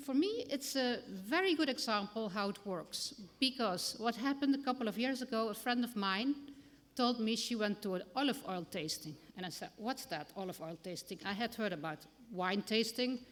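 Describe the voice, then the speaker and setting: A woman with a Dutch accent speaks to an audience in a relaxed, conversational way, dropping in small fillers as she goes. Her voice sits in a middle register. It rings out with a long reverb, as in a large conference hall. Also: medium pitch. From Netherlands. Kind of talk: conference talk.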